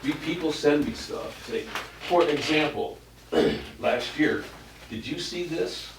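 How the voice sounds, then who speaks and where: A man's voice: a little high, animated, slow. A man on a town committee, talking casually and rambling, often restarting his sentences. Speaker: committee member. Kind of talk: casual meeting discussion. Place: meeting room. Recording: echoey room from far off, faint noise.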